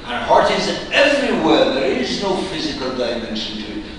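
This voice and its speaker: A man leads a class in a large room, pondering each phrase slowly with long pauses. His voice swings widely in pitch as he muses. The mic sits far off, so the sound is roomy and echoing, with a faint hiss behind it. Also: big pitch swings, a bit bright. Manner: slow, musing, with frequent pauses. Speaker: teacher. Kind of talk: reflective philosophical lecture. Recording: echoey hall, mic far off.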